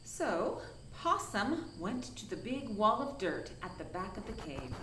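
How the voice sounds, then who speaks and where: A woman storyteller reading a children's picture book expressively, with dramatic pauses and emphasis. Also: mid-range voice, big pitch swings, fairly bright tone, echoey room, far from the mic, slight background noise. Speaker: storyteller from a science museum.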